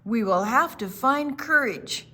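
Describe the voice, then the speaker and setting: A woman voicing a gentle, anxious female milk cow from a storybook, earnest and emphatic in how she speaks. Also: a little high, expressive, slow, husky, voice pushed up, full character voice. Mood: anxious, determined.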